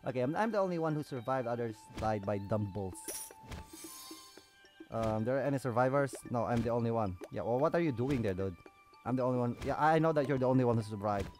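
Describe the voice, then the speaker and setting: A man's voice: mid-range voice, animated, fast, a little mellow. A man hosting a gaming video with casual, off-the-cuff commentary, swearing in an exasperated, sarcastic way. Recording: music behind the voice.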